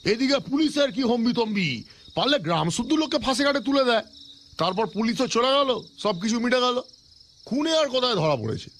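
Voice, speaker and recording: An actor playing an aggrieved villager in an audio drama, complaining with sarcasm. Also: male voice, extremely high pitch, sing-song, brisk, fairly bright tone, slight background noise, full character voice. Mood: bitter, exasperated. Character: aggrieved villager speaking up from a crowd.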